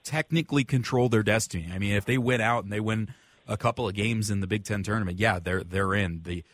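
Male American sports show host, fairly low register, conversational question-setter, dry studio-style sound. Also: brisk, no room sound. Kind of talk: conversational sports talk, posing questions to panelists.

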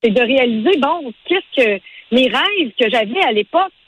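A woman in her forties with a Quebec French accent, talking as a radio interview guest in a halting, conversational way. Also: sits high, lively intonation, slightly rough, low-quality recording. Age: 40s. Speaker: interview guest, event organizer and matchmaker.

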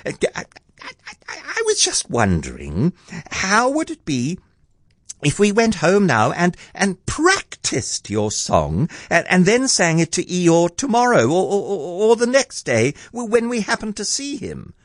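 A man voicing a small, timid, anxious piglet in a children's storybook, squeaky and hesitant, with a stammer. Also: huge pitch swings, takes it slowly, hardly any pauses, a little mellow, dry recording, studio quality, exaggerated voice. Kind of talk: children's storybook character reading.

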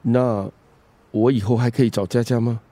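An actor playing a repentant middle-aged ex-husband in a radio drama, earnest, conciliatory and pleading. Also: male voice, mid-range voice, fairly even intonation, moderate pace, warm tone, natural voice. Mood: remorseful, pleading. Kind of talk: Chinese radio drama acting.

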